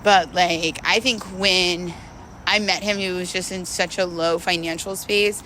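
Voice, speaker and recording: A woman talking in a casual, confessional monologue, candid and halting, repeating words for emphasis. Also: fairly low pitch, fairly even intonation, pushed and bright, a little hiss or hum. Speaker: vlogger.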